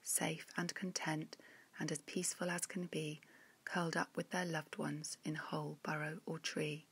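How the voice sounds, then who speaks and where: A woman reading her own rhyming children's story in a gentle, measured, expressive way. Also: low voice, husky.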